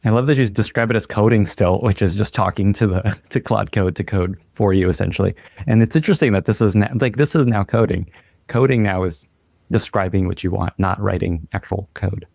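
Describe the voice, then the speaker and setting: Podcast host: man with a somewhat low, gravelly voice, casual and conversational. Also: fairly quick, a little mellow, no echo, clean studio sound. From Ukraine. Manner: conversational, easy laughs, thinking aloud. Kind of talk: casual interview chat.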